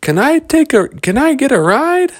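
A man's voice: extremely high pitch, big pitch swings, fast talker, voice pushed up. A man voicing eager, wide-eyed children in a bedtime story, exclaiming and asking questions. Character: excited wide-eyed children, the Lost Boys and Wendy.

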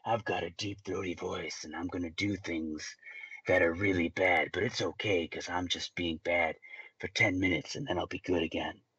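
A man voicing a self-serious male movie villain, with a deep, throaty voice in a mocking tone. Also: fairly even intonation, moderate pace, sharp tone, slightly rough, dry recording, dull audio, exaggerated voice. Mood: mock-brooding.

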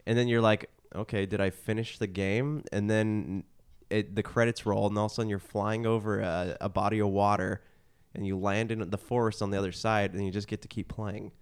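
A man chats informally as a gaming podcast co-host. His voice sits a little low, with a smooth and resonant tone. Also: steady tone, brisk. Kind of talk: casual chat.

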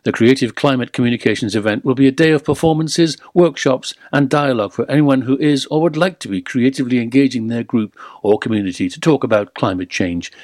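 A man's voice: mid-range voice, a little mellow, no echo, studio quality. A man reading a scripted local radio news bulletin. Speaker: radio newsreader. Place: radio studio.

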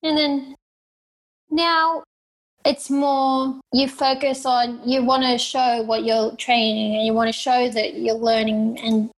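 Young Australian woman, fairly high voice, plain unpolished delivery, interview guest. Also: level tone, slow pace, rough recording. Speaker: guest, professional fighter. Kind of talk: interview answers.

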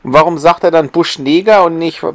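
Higher-voiced man, dry close sound. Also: a little fast, fairly bright tone, dry recording. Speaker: podcast co-host. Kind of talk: casual podcast chat.

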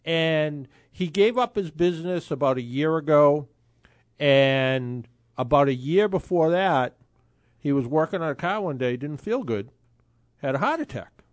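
A man in his sixties hosts a car show in a casual, rambling way, often restarting his sentences. His voice sits a little high for a man. The sound is dry and close, with no room around it. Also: lively intonation, measured pace, no room sound. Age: 60s. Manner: chatty, rambling with frequent restarts. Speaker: radio car show host. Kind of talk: casual radio talk.

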